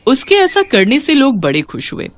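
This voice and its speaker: A woman narrating a scripted children's story in an expressive storytelling style. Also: high-pitched, moderate pace.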